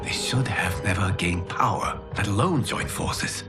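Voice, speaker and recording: Low, solemn fire god. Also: male voice. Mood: grave concern. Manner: formal, measured, authoritative. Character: calm, wise, solemn male fire god protector. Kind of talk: dramatic video game voice acting. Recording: music clearly under the voice.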